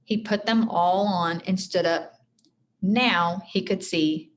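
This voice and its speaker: A woman's voice: lowish voice, takes it slowly, slight background noise. A woman teacher reading a storybook aloud expressively, with simple explanatory asides for children.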